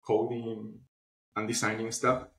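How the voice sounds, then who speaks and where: A man speaking casually as an interview guest, telling stories in an animated way with quoted asides. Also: fairly low pitch, measured pace. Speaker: interview guest, publishing platform founder. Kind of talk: casual conversational interview answers. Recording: roomy, echoey sound.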